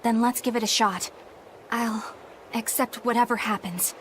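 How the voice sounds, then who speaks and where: Earnest high-voiced young exorcist woman, acted. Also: steady tone, measured pace, slight background noise, close to an everyday voice, rough recording. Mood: anxious resolve. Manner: sincere, earnest. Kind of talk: dramatic video game voice acting.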